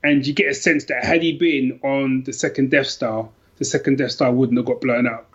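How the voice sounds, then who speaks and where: A man's voice: medium pitch, steady tone, normal speed, breathy edge. Man chatting informally, slightly dull audio. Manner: informal and colloquial. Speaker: podcast guest. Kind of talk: casual book chat.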